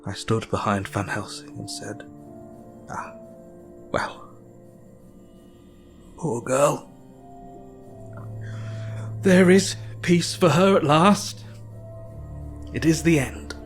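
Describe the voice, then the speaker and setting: An actor with an English accent playing an earnest, grieving Victorian doctor, narrating his diary in measured, formal speech for an audio drama. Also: a little high, expressive, soft background music, heavily acted. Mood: sorrowful.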